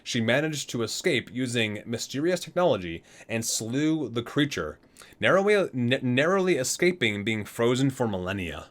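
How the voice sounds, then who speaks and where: A man with a medium-pitched, very animated voice reads aloud like a video creator presenting a book. He leans into titles and names with theatrical weight, then slips into casual asides in his own conversational way. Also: moderate pace.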